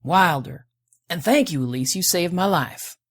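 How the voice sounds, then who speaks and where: A woman's voice: fairly low pitch, animated, a little slow, no echo, studio quality. A woman doing a lilting, folksy male space drifter. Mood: grateful, folksy. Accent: put-on, lilting. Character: thin rough male space drifter, feigning grateful prospector. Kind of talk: audiobook character voice.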